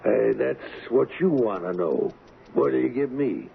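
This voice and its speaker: Gravelly, cocky street-tough gangster. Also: male voice, mid-range voice, lively intonation, unhurried, phone-quality sound. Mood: sardonic, defiant. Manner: slangy, taunting, rough grammar. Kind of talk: old-time radio crime drama acting. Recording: thin, band-limited, hissy old radio recording.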